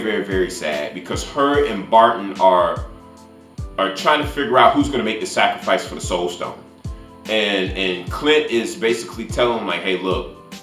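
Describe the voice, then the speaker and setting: A man's voice: on the low side, a bit bright. A man giving an unscripted, casual movie recap as a reviewer, rambling and repeating words as he searches for the next one. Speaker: movie reviewer, channel host. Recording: roomy echo, faint music behind.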